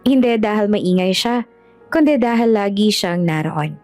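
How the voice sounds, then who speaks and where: Lady narrating a romance audio story, normal mid pitch, music in the background. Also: expressive, measured pace, darkish tone.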